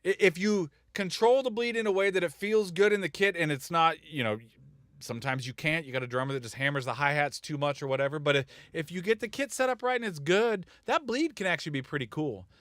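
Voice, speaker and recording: Male music producer, casual live-stream chat; rambling, blunt, self-mocking; a touch higher voice, dry sound. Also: lively intonation, quick pace, fairly bright tone, dry recording.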